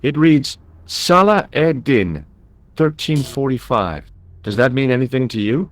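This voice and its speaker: An actor playing an earnest, wry young American amateur sleuth in an adventure game, speaking with an American accent. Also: male voice, mid-range voice, animated, measured pace, no room sound, close to an everyday voice. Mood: calm, inquiring. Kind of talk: adventure game dialogue and narration.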